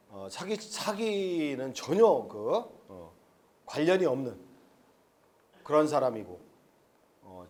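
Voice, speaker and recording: Man in his fifties lecturing with a lively voice. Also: a little high. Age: 50s. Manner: frank, rambling, with many "uh" fillers. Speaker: seminar lecturer. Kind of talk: live seminar lecture to an audience. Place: expo seminar hall.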